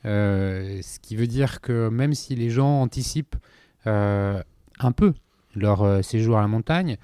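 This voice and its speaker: A male podcast host steers a business interview, often circling back over the same word before finishing a thought. His voice is low and dark, and the recording is dry with no echo. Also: measured pace, clean tone. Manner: hesitant, repeats words, probing. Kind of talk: interview questions.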